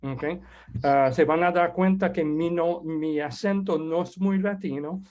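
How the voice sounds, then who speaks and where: A man whose first language is French, hosting an online webinar and introducing a guest speaker in a halting, casual way with many restarts. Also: fairly high pitch, steady tone, darkish tone. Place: online video call.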